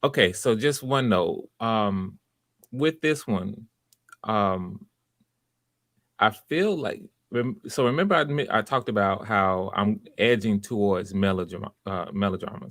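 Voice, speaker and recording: A man's voice: fairly low pitch, normal speed, fairly bright tone, no room sound. A man hosting auditions and giving encouraging, conversational feedback, often restarting his phrases. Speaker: audition host and director.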